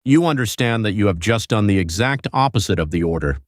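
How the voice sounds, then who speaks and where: A man's voice: lowish voice, animated, a little fast, no room sound, studio quality, lightly acted. A man playing a stern, wary bureaucratic superior in an audio sci-fi drama, blunt and reproachful.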